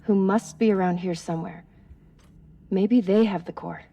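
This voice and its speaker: A woman's voice: medium pitch, steady tone, normal speed, vocal fry, warm tone. An actress playing a sympathetic female crewmate in a video game, speaking in an earnest, emotional way.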